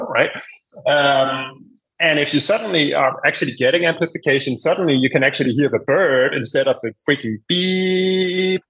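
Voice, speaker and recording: A man's voice: medium pitch, bright, forceful tone, rough recording. A man, talking in an emphatic, informal way and often checking in with "right?" as he explains things. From Denmark. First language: Danish. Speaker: podcast guest, hearing aid company executive. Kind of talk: casual conversational explaining.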